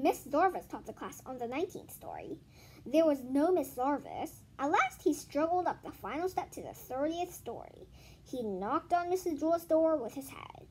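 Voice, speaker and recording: A female host reading a children's book aloud in an expressive, informal way, correcting herself and adding casual asides. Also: sits high, rough recording. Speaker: video host.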